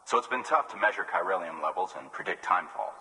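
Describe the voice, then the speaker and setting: A man's voice: sits low, animated, fast, pushed and bright, heavily acted. An actor playing a courteous, grateful male weather station worker in a video game, speaking politely and earnestly. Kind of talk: video game cutscene acting. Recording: long reverb, faint noise.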